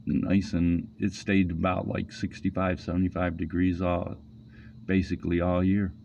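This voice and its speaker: A middle-aged man hosting an interview, easygoing and soft-spoken. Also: low-pitched, level tone, moderate pace, gravelly. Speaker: interviewer, host. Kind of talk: casual interview chat. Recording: dull, slightly noisy line.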